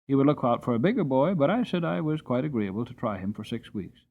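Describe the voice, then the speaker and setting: A man's voice: mid-range voice, sing-song, fast. A man voicing a kind, hardworking coachman who talks plainly and earnestly, on a tinny narrowband recording.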